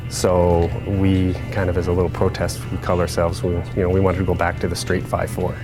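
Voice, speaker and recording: A man with a low voice answers interview questions informally. He explains things in loose, hesitant phrases, with music playing clearly behind him. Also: monotone, brisk. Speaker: air traffic controller guest.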